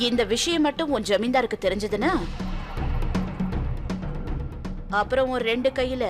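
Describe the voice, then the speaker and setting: Actress as a sly middle-aged mother, fairly high voice, faint music. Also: quick pace, natural voice. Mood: menacing. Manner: sly, threatening. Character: cunning older mother, sly schemer.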